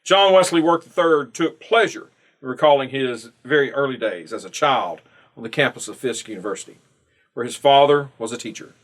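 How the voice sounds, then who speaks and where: A man with a medium-pitched voice reads prepared text aloud. His delivery is measured and formal, broken into phrases with pauses, in the manner of a church member presenting a written devotional. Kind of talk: scripted narration, read aloud.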